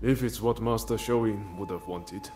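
A man's voice: fairly low pitch, a little slow, a little mellow, faint music behind, natural voice. A man playing an easygoing, laconic wandering samurai in a video game, casual and understated. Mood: laid-back. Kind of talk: naturalistic video game voice acting.

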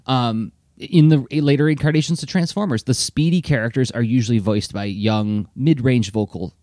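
A man co-hosting a podcast, talking in a lively, rambling way packed with fillers. His voice sits at an ordinary middle pitch. The sound is dry and close to the mic. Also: moderate pace, no echo. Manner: animated, lots of fillers. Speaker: podcast co-host. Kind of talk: casual chat.

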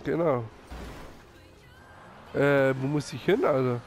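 A man with a cold hosting a casual racing game recording, excitable and quick to yell at mishaps. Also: mid-range voice, soft background music. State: has a cold. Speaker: gaming channel host. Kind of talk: casual let's-play chat.

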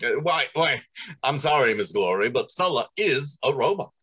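A middle-aged man acting a confident, talkative factory manager in an old-time radio drama, with emphatic, halting explanations. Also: mid-range voice, expressive, sharp tone, breathy edge. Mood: insistent. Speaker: actor. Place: small indoor room on a video call.

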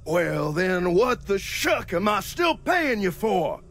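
A man's voice: sits high, very animated, takes it slowly, gravelly, fairly bright tone, soft background music, full character voice. A man voicing a pompous, sneering, short-tempered boss in a video game, with a Southern US accent and a folksy, condescending, mock-genteel manner. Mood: angry. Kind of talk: video game cutscene acting.

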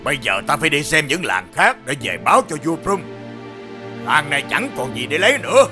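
A man voicing an arrogant invading general with an imperious, declamatory manner in an animated fairy tale. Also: on the high side, fairly quick. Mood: haughty. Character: arrogant invading male general, commanding and self-satisfied. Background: music.

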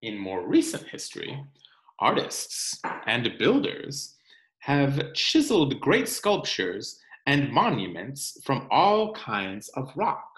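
A man reads a picture book aloud for children at an unhurried pace. His delivery is expressive and teacherly, with pitch that moves up and down a lot. The sound is roomy, like a webcam in a home. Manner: expressive, deliberate, teacherly with questions to listeners. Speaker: children's read-aloud presenter. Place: indoor room at home.

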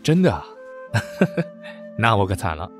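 A devoted male brigade commander voiced by a man for a radio drama. His voice sits low and swings widely in pitch. He sounds weary but tender and gently teasing, over clear music. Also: big pitch swings, slow. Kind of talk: Chinese audio drama acting. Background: background music.

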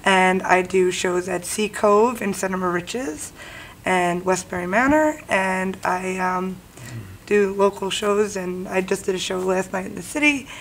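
A woman's voice: mid-range voice, measured pace, slight background noise. A young woman comedian chatting as a guest on a small studio set, laughing easily. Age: young adult. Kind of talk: casual chat.

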